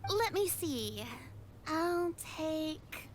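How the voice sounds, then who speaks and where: High, hesitant, polite young woman. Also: big pitch swings, extremely slow, slight background noise, full character voice. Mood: unsure, thoughtful. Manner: hesitant, thoughtful, slightly stammering. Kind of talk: video game voice acting.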